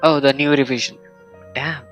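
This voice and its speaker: A man's voice: medium pitch, fairly even intonation, normal speed, a bit bright, muffled recording, soft background music. A man giving casual, offhand live commentary as he streams a video game.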